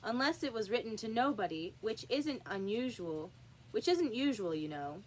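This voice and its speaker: A woman's voice: fairly high pitch, animated, moderate pace, a little hiss or hum, close to an everyday voice, low-quality recording. A woman voicing a grave, self-important king in a storybook reading, with an emphatic, pompous delivery. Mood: insistent.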